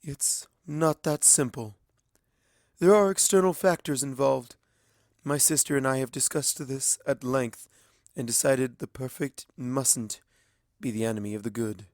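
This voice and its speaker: A man voicing a sorrowful, formal immortal pony princess with measured speech, in a storybook reading. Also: medium pitch, a little mellow, dry recording, clean studio sound, close to an everyday voice. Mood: stern, guarded.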